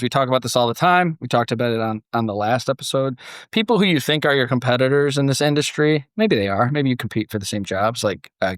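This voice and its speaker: A man's voice: mid-range voice, dry recording, studio quality. A man hosting a podcast, moving between conversation and scripted story narration. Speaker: podcast host.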